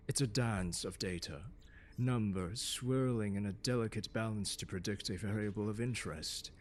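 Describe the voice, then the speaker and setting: A man playing a pompous, condescending genius scholar in an ASMR roleplay, lecturing a pupil in a haughty, mocking, deliberate and articulate way. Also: on the low side, slight background noise. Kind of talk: ASMR roleplay monologue, second-person lecture.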